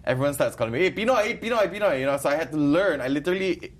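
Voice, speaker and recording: A man's voice: fairly high pitch, racing. A man in his twenties, from the Philippines, with a Filipino accent, chatting playfully as a guest about his life. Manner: playful, animated, switches between Filipino and Singaporean English. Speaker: guest, YouTuber and radio DJ. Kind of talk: casual interview chat.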